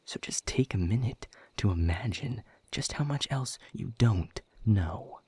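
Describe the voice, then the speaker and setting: A man playing a weary, bitter demon captive in an audio roleplay, speaking in a husky, breathy voice with measured, sardonic formality. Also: on the low side, lively intonation, warm tone, slight background noise. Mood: angry, scornful. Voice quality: husky, breathy, often dropping toward a whisper. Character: weary, bitter, guarded male demon captive, quietly defiant. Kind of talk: scripted audio roleplay monologue.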